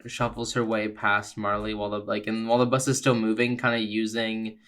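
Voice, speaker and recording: A man playing a tabletop game, narrating his character's movements in a halting, conversational, improvised style. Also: lowish voice, level tone, fairly bright tone.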